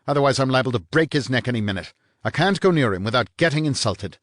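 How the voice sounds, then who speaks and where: A man's voice: medium pitch, expressive, brisk, dry recording, studio quality, pitched above the performer's own voice, natural voice. A man voicing a vain, irritable man who snaps out his lines with hostility. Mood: angry. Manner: snapping, hostile.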